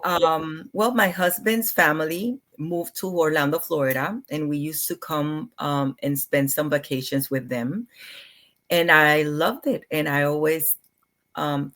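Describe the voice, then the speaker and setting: Middle-aged woman from Puerto Rico, low register, soft-spoken interview guest. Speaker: clinical psychologist guest. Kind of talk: interview conversation.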